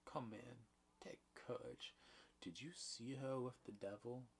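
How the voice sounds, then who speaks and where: A man's voice: measured pace, slight background noise, lightly acted. A man voicing a stern, pompous older male judge who presses a prisoner for a confession, in a read-aloud play. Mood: insistent. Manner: insistent, officious, raised pitch.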